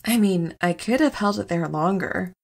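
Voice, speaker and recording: An actress playing a nonchalant, teasing wife who is secretly a superstrong heroine, in an audio comedy drama. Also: female voice, medium pitch, animated, moderate pace, gravelly. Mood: breezy.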